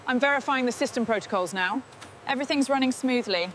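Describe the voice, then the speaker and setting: A woman's voice: sits high, quick pace, fairly bright tone. A woman giving short scripted status reports, like a factory technician. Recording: clear background noise.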